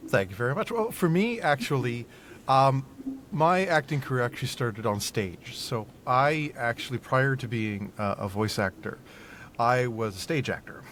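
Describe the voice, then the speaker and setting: A middle-aged man in casual interview chat as a podcast guest, speaking haltingly and repeating his words. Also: expressive, a bit bright. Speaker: podcast guest, voice actor and audio engineer. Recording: faint background noise and low rumble.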